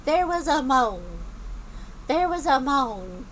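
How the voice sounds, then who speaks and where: A woman giving casual gameplay commentary as a streamer, halting and repeating herself for emphasis. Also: high voice, big pitch swings, slight background noise, low-quality recording. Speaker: game streamer.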